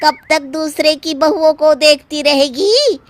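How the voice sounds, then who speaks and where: A woman voicing a blunt, scolding middle-aged female friend in a cartoon story, with an animated, chiding manner. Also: high voice, unhurried, music in the background. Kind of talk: animated moral story acting.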